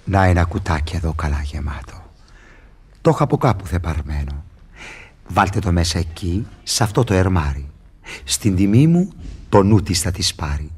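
A scheming devil in radio theatre. The voice is low and raspy, swinging widely in pitch as he mocks and goads briskly. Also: very animated, darkish tone, heavily acted. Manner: brisk, sardonic, goading. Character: sly, mocking male devil tempter Mephistopheles. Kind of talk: theatrical radio drama acting.

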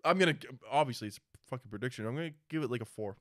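A man's voice: mid-range voice, lively intonation, rapid-fire, a bit bright, no echo. Man chatting casually, dry close sound. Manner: informal, profane. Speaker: podcast co-host. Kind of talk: casual opinionated movie discussion.

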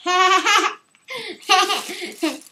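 A teenage young woman with a squeaky helium-altered voice, chatting casually and giddily in a homemade vlog. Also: very slow, pushed and bright. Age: teens. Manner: giggly, giddy, playful. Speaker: vlogger. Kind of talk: casual excited vlog chat. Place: small bedroom, indoors. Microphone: camera a short distance away. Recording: faint noise, home camera audio.

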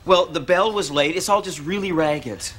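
A man's voice: on the high side, normal speed, noisy background, close to an everyday voice. An actor in his thirties playing a pompous, bossy boyfriend in a TV comedy sketch, with a grandiose, nagging manner. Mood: exasperated. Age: 30s. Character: pompous, bossy boyfriend obsessed with his answering machine messages. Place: TV studio apartment set.

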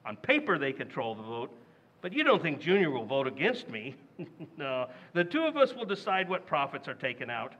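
A man's voice: fairly high pitch, wildly swooping pitch, normal speed, fairly bright tone, muffled recording, heavily acted. An actor playing a blustering, scheming middle-aged family man in an old-time radio comedy, exclaiming and stammering. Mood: smug. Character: blustering, scheming middle-aged husband and father, easily outraged.

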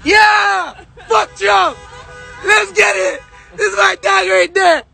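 A young man live-streaming from inside a car, shouting hyped and profane, straining his voice, on a phone held at arm's length. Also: very high voice, fairly even intonation, takes it slowly, gravelly, faint music behind. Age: young adult.

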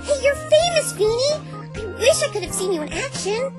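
Very high-pitched cartoon pony girl, bubbly, naive, swooping, excitable. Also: normal speed, loud music behind the voice. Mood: admiring. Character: bubbly, scatterbrained young female cartoon pony. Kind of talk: cartoon fandub acting.

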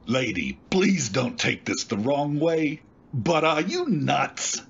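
Radio drama cabbie, played by a man: wary, colloquial, incredulous, husky voice, slightly muffled recording. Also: on the high side, very animated, slow, full character voice. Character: wary, exasperated working-class male cab driver. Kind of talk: old-time radio drama acting.

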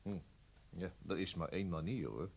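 An actor playing a dry, teasing, understated police detective in a radio drama. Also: male voice, low voice, moderate pace, vocal fry, darkish tone, husky, exaggerated voice. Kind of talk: Dutch radio drama acting.

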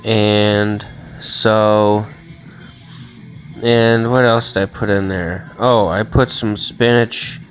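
A man's voice: fairly low pitch, level tone, drawn-out words, faint music behind. A man rambling casually and swearing often in a home vlog, filmed on a handheld phone in a small room. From Chicago area. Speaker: vlogger. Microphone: phone camera held in hand.

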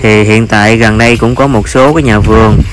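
A man's voice: fairly low pitch, fairly even intonation, a little fast. A man with a Southern Vietnamese accent narrates an informal vlog as a farmer, with hesitant, repetitive phrasing.

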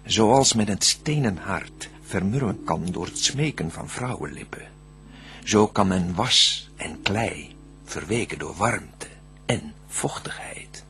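An actor playing a cunning, smooth-tongued devil in a Dutch verse radio drama, declaiming deliberately with a husky voice. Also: male voice, on the low side, expressive, fairly bright tone, a little hiss or hum. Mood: calculating. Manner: theatrical, scheming, deliberate declamation. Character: cunning, smooth-tongued male devil plotting to tempt mankind.

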